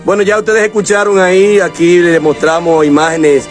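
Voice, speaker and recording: A man reports from the field, putting questions to officials in an interview. His voice sits a little high. Faint music plays under his report. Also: flat delivery, moderate pace. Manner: leading questions, wrapping up reports. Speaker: news reporter.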